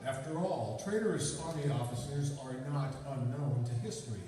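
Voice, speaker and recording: A man playing a calm, worldly gentleman detective in an old-time radio drama, measured and explanatory. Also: medium pitch, noisy background, close to an everyday voice. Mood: calm, knowing. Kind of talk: 1940s radio drama acting. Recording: echoey hall from far off.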